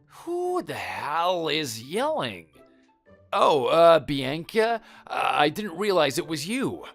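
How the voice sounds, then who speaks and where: Flustered cheating husband, played by a man, swooping pitch. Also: lively intonation, unhurried, gravelly, soft background music. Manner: stammering, pleading excuses. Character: cheating husband caught in a motel, defensive, flustered liar. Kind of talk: dramatized audio story acting.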